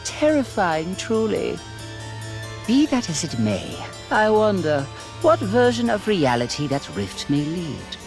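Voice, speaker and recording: A man's voice: high-pitched, huge pitch swings, takes it slowly, full character voice. A man voicing a haughty, sadistic alien emperor in an anime video game, with refined, theatrical, mocking diction. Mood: gleeful, menacing. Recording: music behind the voice. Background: music.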